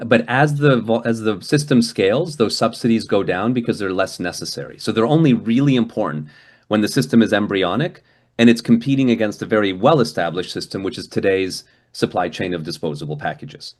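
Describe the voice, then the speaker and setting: A man's voice: on the low side, a little fast. A man from Hungary giving a guest lecture and answering questions over a video call, conversational, with frequent restarts and a habit of tagging "right?" onto his points. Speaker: guest speaker.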